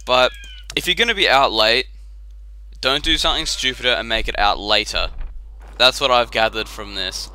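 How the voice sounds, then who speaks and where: A young man giving a chatty, rambling commentary on a game replay, his voice croaky and tired after a late night. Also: medium pitch, normal speed, pushed and bright, a little hiss or hum. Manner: chatty and rambling, with filler words.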